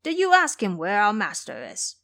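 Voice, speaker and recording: Lady doing a simple boar guy sidekick, eager and agreeable, bouncy pitch, audio drama. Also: wildly swooping pitch, moderate pace, a bit bright. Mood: curious. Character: male boar disciple, simple, agreeable younger brother.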